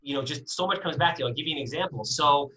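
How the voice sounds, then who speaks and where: A man in his forties with a bright, forceful voice, talking as a guest in a relaxed interview. He tells his stories energetically and candidly, with plenty of fillers and the odd swear. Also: a little high, fast talker, low-quality recording. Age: 40s. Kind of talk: casual podcast interview.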